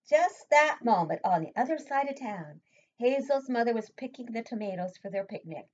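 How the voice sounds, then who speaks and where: An older woman and preschool teacher reading a picture book aloud at home, pausing to ask the children questions. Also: medium pitch. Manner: expressive read-aloud, asks children questions. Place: home room, indoors. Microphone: webcam at desk. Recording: dull lo-fi webcam audio.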